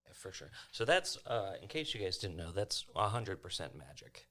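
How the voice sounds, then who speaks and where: A man's voice: low voice, big pitch swings, normal speed, a bit bright. A man playing a tabletop role-playing game, speaking casually as himself and as his character.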